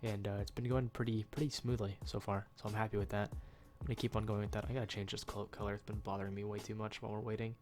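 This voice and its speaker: A man's voice: lowish voice, little pitch movement, quick pace, few pauses, a little mellow, faint music behind. A young man with a nasal, stuffed-up voice giving rambling, casual commentary while he plays a game at home, full of ums and uhs. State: nasal from a cold. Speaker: gaming YouTuber.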